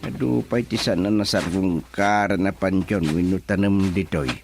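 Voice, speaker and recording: Slow, low-voiced folksy old Filipino village chief. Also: male voice, creaky. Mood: musing. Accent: Filipino, Ilocano. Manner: muttering to himself with hums and exclamations. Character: elderly village man, barangay chairman, folksy and easily flustered. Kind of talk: Ilocano radio comedy drama acting.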